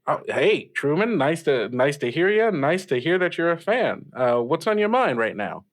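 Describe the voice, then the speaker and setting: A man's voice: a little high, sing-song, normal speed. Man playing a hesitant young radio host. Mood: gentle, unsure. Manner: hesitant, stammering, giggly, self-effacing. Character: hesitant, kindly young male late-night radio host, a kid. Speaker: radio call-in show host. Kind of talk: improvised call-in radio acting, casual.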